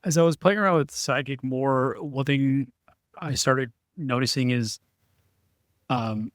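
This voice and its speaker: A man's voice: mid-range voice, unhurried, dry recording, clean studio sound. A man speaking as an expert panelist, laying out scenarios in a conversational, explanatory way.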